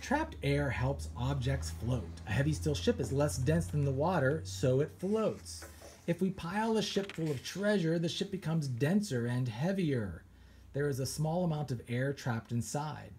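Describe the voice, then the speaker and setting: A man reads a children's picture book aloud with lively, rising and falling storytelling. His voice is mellow and warm, with a faint hiss in the background. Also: on the high side, a little slow. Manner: animated storytelling with pirate flair. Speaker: children's author reading aloud. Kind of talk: expressive read-aloud.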